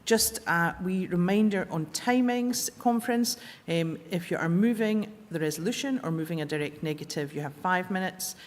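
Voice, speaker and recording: A woman with a Scottish accent chairing a political conference, introducing speakers in a brisk, friendly way. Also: on the low side. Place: large conference hall. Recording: reverberant hall, faint crowd.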